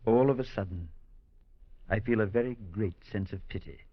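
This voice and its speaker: An actor playing an earnest, kindly male priest who narrates a 1940s radio drama in a measured storytelling manner. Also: on the low side, no echo. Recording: dull 1940s radio broadcast.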